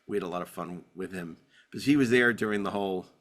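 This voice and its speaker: A man hosting a podcast in casual discussion, telling rambling anecdotes with halting, repeated words. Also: sits low. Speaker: podcast host. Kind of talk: casual panel discussion of classic TV.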